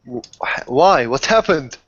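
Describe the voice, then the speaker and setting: A man's voice: mid-range voice, animated, slow, a bit bright. A man casually narrating his character's actions in a tabletop roleplaying game, hesitant and restarting phrases. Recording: dull lo-fi voice recording.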